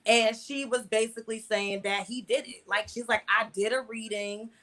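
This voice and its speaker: A woman's voice: on the high side, fairly even intonation, moderate pace, pushed and bright. A woman in her mid twenties with an American accent, telling personal stories candidly as an interview guest, in colloquial language with repeated words for emphasis. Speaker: interview guest, online video creator.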